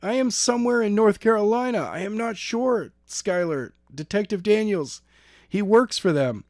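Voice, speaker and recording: A man's voice: sits high, animated, moderate pace, darkish tone, dry recording, clean studio sound, voice pushed up. A man voicing a frightened girl in a story, pleading urgently and breathlessly. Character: frantic, frightened kidnapped girl pleading over phone.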